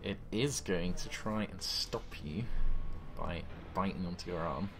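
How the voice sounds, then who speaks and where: A man's voice: deep voice, measured pace, background noise. A man running a tabletop horror game as game master, describing the action blow by blow with sound effects, on a voice call.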